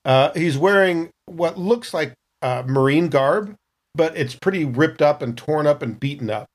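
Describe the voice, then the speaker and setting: A man's voice: fairly high pitch, lively intonation, measured pace. A man narrating a tabletop role-playing scene in a casual, improvised storytelling style.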